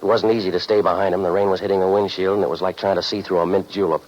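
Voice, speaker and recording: Deep male voice, American, wisecracking radio detective played by an actor, deadpan noir narration, muffled old broadcast with light noise. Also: very fast, close to an everyday voice. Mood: deadpan, sardonic. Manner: deadpan, sardonic. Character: hard-boiled, wisecracking male private eye narrating his case. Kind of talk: 1940s radio noir acting, scripted first-person narration. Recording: muffled, noisy 1940s radio recording.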